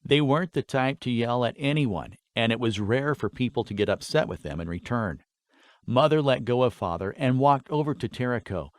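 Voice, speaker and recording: A man narrating a fantasy story in an expressive, scripted audiobook style. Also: medium pitch, moderate pace, no echo, studio quality.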